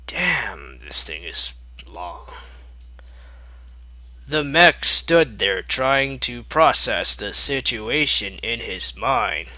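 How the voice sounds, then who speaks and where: A man narrates a fan story at an unhurried pace with a sarcastic edge. His voice is bright and forceful, and the recording is dry. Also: medium pitch, very animated, dry recording. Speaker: fanfiction reader.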